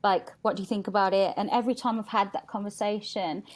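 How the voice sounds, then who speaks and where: A woman's voice: mid-range voice, steady tone, quick pace. A woman talking candidly and casually as a podcast guest, chatty and acting out quotes as she tells stories. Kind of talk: candid casual interview chat.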